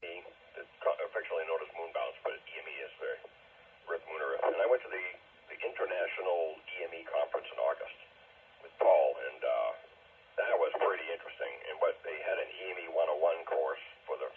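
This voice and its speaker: A man's voice: rumbling low, brisk, husky. A man in his sixties chatting casually about his hobby over a narrow, muffled radio link. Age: 60s. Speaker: amateur radio operator.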